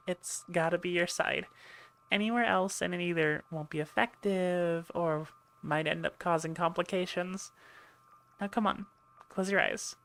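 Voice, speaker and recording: A woman's voice: on the low side, a bit bright. A woman playing a chatty, teasing and reassuring medic aboard an alien warship in an ASMR roleplay. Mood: calm reassuring. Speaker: audio roleplay voice actor. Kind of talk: ASMR roleplay, one-sided conversation.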